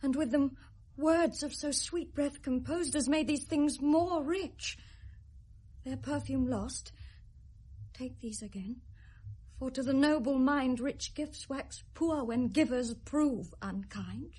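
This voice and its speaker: An actress with a British accent playing a gentle, sorrowful young noblewoman in classical Shakespearean radio drama, earnest, measured and theatrical. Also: high-pitched. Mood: timid, earnest.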